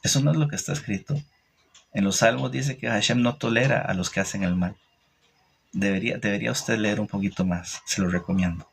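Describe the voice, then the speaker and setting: Man, Costa Rican accent, lowish voice, religious teacher, informal livestream talk, opinionated, halting with repeated phrases. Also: gravelly, slightly rough. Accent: Costa Rican Spanish. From Costa Rica. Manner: hesitant pauses with repeated phrases, opinionated and didactic. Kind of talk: informal religious talk answering live comments.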